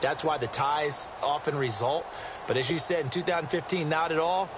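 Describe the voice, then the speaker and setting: A man's voice: on the high side, fairly even intonation, normal speed, noisy background, close to an everyday voice. A man with an American accent giving emphatic, folksy color commentary on football as an enthusiastic analyst. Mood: conversational. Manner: explanatory, emphatic.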